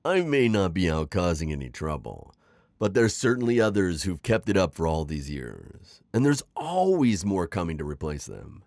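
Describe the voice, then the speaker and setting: A man performs a middle-aged retired magical villain in an intimate ASMR roleplay. His low voice swings dramatically up and down as he coaxes and teases. The delivery is theatrical and sly, recorded very dry. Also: huge pitch swings, moderate pace, darkish tone, dry recording, exaggerated voice. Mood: coaxing, disapproving. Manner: theatrical, teasing, manipulative coaxing with sighs and chuckles. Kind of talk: scripted ASMR roleplay acting.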